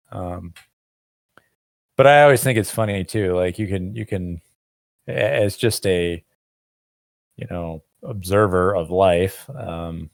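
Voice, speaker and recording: A man's voice: sits low, vocal fry, no echo. A man co-hosting a casual podcast, talking in a rambling, reflective way with many fillers. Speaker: podcast co-host, martial arts teacher.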